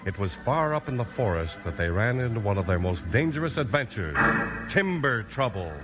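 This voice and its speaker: A man's voice: fairly low pitch, big pitch swings, fairly quick, lo-fi sound. An American man announcing and narrating a 1950s radio show in an emphatic, theatrical style. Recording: vintage radio transcription. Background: music.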